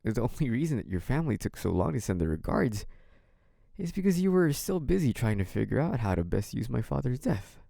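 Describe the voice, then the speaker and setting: Man acting a young mafia heir, creaky and expressive. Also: mid-range voice, brisk, no echo, clean studio sound. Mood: polite, probing. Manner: hesitant and apologetic act, turning smug and taunting. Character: young mafia heir, feigned naive politeness hiding cunning, possessive menace. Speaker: audio roleplay performer. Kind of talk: dramatic first-person audio roleplay.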